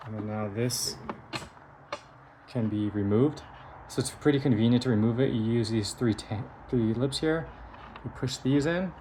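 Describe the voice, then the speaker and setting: A man's voice: mid-range voice, moderate pace, pauses often, noisy background. A man giving a hands-on technical demonstration of a device in a methodical, explanatory manner. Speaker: engineer presenting a prototype device.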